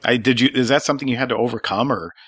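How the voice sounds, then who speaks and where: Chatty Wisconsin man as podcast host, sharp voice, dead-dry room. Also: medium pitch, racing, slightly rough, dry recording. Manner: chatty, friendly questioning with small asides. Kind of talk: interview podcast.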